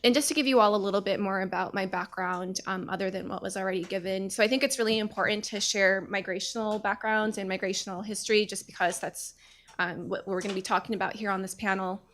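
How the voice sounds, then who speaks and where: A woman in her thirties opens a panel discussion with a personal story. She talks earnestly and at a measured pace, with frequent hesitations. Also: mid-range voice, fairly even intonation, a bit bright. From United States. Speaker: panel moderator.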